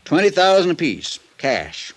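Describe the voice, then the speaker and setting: A man's voice: a little high, huge pitch swings, a little slow, exaggerated voice. An American man playing a folksy, worried small-town insurance agent explaining a case in a 1950s radio drama. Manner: folksy, grave storytelling.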